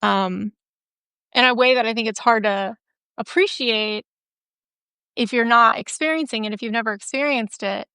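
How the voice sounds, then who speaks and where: A woman's voice: on the high side, normal speed, long pauses, bright, forceful tone, clear, resonant tone, dry recording. A woman hosting a casual interview, talking candidly with lots of "like" fillers. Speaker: host.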